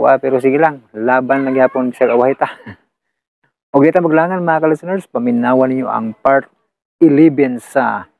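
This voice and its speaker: A man's voice: medium pitch, gravelly, no echo. A man with a Cebuano Filipino accent, chatting casually and rambling to his listeners as a channel host. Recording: muffled phone-like close recording.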